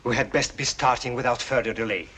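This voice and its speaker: An actor playing a deferential foreign royal envoy, stiffly courteous and ornate, in 1940s film style. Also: male voice, medium pitch, fairly even intonation, fast talker, sharp tone, slight background noise, lightly acted. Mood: reverent.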